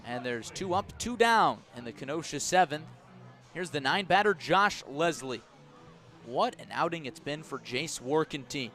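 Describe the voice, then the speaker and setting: Man calling baseball play-by-play, slightly high voice. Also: lively intonation, fairly bright tone, soft background music. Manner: measured between plays, rising on action. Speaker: baseball play-by-play radio announcer. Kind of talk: live sports commentary. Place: outdoors at a ballpark.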